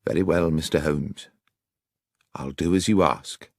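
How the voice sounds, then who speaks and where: A man's voice: very deep voice, a little slow, no room sound, clean studio sound, voice pushed down, full character voice. A man playing a cautious, deferential railway station master who is careful of his good name, in an audiobook. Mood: resigned. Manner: deferential, hesitant.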